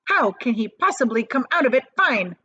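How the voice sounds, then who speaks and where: A hysterical, bossy mother from a children's storybook. She shrieks high and indignant, with wild swoops. The recording is slightly dull. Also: very animated, moderate pace, pushed and bright, slightly rough, no echo, exaggerated voice. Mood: outraged.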